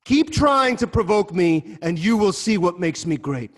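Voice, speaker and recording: A man's voice: sits high, animated, unhurried. An actor playing a proud, hot-tempered king in a dramatic reading over a video call, scornful and commanding. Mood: defiant impatience. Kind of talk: Zoom dramatic reading of a Greek tragedy.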